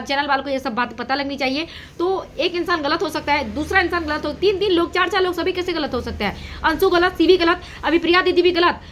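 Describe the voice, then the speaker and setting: Woman, high-pitched, piercing tone, emphatic repetitive gossip commentary, slightly noisy recording. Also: fairly bright tone. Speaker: reaction video host. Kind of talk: casual gossip commentary to an audience.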